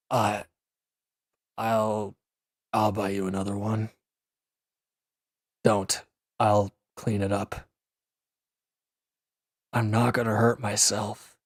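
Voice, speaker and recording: A man acting a gruff, guarded former villain in a roleplay audio drama, curt and grumbling, reluctant to lean on anyone. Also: on the low side, no room sound, clean studio sound, heavily acted. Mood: defensive, sheepish. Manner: curt, grumbling, defensive, with sighs and hesitant breaks. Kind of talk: scripted roleplay audio drama addressed to the listener.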